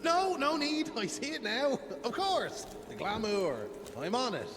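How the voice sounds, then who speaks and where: An actor playing a witty occult scholar who gives wry, clipped replies. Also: male voice, very high voice, sing-song, measured pace, long reverb tail, full character voice.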